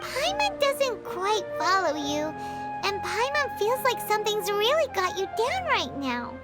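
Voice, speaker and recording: An extremely high, squeaky little fairy girl for a video game. She is chatty and exclamatory, her pitch leaping around like an excitable child's, with prominent music behind her. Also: a little slow, full character voice. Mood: concerned. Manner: exclamatory, refers to herself in third person. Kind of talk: video game character acting.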